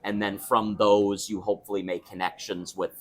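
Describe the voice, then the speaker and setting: A young man with a somewhat low speaking voice chats about his work as an opera singer. He talks in a relaxed, thoughtful way, restarting sentences and laughing now and then. He is being interviewed at a restaurant. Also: moderate pace. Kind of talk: casual interview chat.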